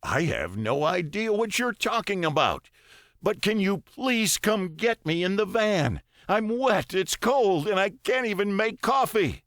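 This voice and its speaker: Guy reading as an exasperated stranded dad in an audiobook, voice pushed up high, plaintive and emphatic. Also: big pitch swings, a little slow, a bit bright, no room sound, studio quality, exaggerated voice. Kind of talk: audiobook character dialogue.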